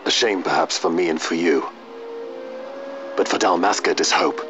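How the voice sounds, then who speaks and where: A man playing a stoic, honor-bound knight in a fantasy video game, speaking formally and earnestly in measured phrases. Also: sits low, lightly acted. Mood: resolute, earnest. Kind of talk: formal, archaic video game drama acting. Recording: muffled game audio under clear music.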